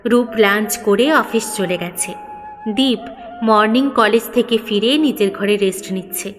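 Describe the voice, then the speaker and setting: A woman's voice: medium pitch, a little slow. A woman narrating a scripted story dramatically as a storyteller. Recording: long reverb, background music.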